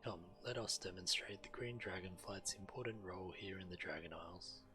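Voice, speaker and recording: Low-voiced man reading quest text aloud. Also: fairly quick. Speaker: game streamer. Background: game music.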